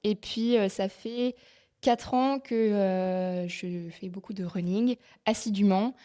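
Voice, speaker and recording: A woman's voice: mid-range voice, slow, dark tone, clear, resonant tone. A woman in her twenties from France, a physiotherapist guest chatting openly on a podcast with frequent small hesitations. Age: 20s. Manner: open and friendly, with frequent filler hesitations. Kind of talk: casual interview chat.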